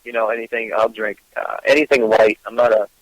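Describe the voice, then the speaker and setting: A man's voice: lowish voice, level tone, fairly quick, dry recording, rough recording. A man with a Gloucester, Massachusetts accent, a guest chatting casually, with an easygoing manner full of ums and uhs. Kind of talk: casual interview chat.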